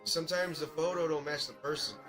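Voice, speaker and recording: A man hosting a music review, giving casual live critique in a halting, think-aloud way with repeated catchphrases. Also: a little high, steady tone, rough recording. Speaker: music review show host.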